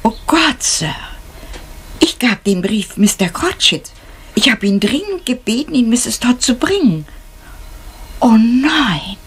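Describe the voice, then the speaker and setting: Expressive, humble cook, lively pitch. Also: female voice, slow, pauses often, husky, full character voice. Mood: dismayed. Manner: deferential, artless, expressive. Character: humble, excitable English female cook, respectful and naive. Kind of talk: German radio crime drama acting.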